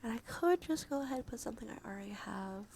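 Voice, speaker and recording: A woman livestreamer talking casually in a soft, breathy whisper with vocal fry, in an informal ASMR game stream style. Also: fairly high pitch, animated, quick pace, a little hiss or hum. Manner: sweary, informal. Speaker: livestreamer and host.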